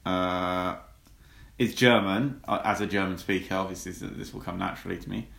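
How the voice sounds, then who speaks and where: A man co-hosting a podcast, making dry deadpan quips in casual chat. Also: low-pitched, fairly quick, creaky. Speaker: podcast co-host. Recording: echoey room.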